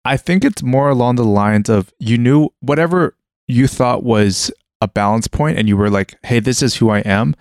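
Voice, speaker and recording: A man's voice: medium pitch, moderate pace, a little mellow, dry recording, clean studio sound. A young man in casual conversation, talking through his thoughts hesitantly with many restarts and fillers. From Western world (Canada).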